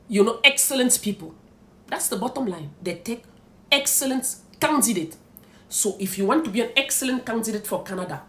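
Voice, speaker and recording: Woman, middle-aged, from Cameroon, French first language, higher voice, emphatic, rhetorical questions. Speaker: immigration advice vlogger. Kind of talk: direct-to-camera advice talk.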